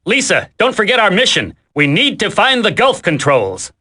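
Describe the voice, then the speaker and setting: A man's voice: sits high, big pitch swings, normal speed, bright, forceful tone, heavily acted. A man voicing a brash, impatient squad leader in a video game, slangy, wisecracking and bossy. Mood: bossy, urgent.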